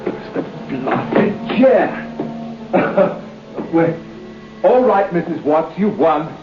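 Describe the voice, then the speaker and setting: An actor playing a proud, unnerved man trapped alone in a dark room, muttering to himself with forced bravado in an old-time radio drama. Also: a little high, animated, drawn-out words, music in the background. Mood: rattled, near panic. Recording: dull, noisy old radio broadcast.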